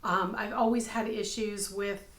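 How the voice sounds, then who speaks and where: A woman in her fifties, slightly hoarse, hosting a casual craft podcast from her home craft room, upbeat and full of ums. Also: medium pitch, fairly even intonation, unhurried, fairly bright tone. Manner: chatty and upbeat, many ums. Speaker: podcast host, crochet designer. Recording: echoey room.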